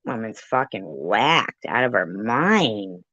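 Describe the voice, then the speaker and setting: Female commentator, low and very lively in pitch, combative and sweary, recorded dull and close. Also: takes it slowly, no room sound. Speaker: commentary channel creator. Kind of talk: reaction commentary, talking back to a clip.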